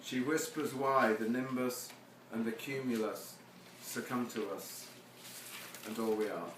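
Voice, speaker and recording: Man, slow pace, wry poet at a live reading, distant echoing recording, faint noise. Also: mid-range voice. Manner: self-deprecating, wry humour. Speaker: poet reading own poems. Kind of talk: live poetry reading with informal introductions. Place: live reading venue with seated audience. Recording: echoey, noisy room from far off.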